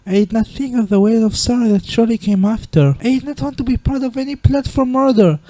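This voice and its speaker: A woman playing a gentle, proper, remorseful monster queen and mother in a dramatic comic dub, with formal, refined diction. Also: on the high side, quick pace, natural voice.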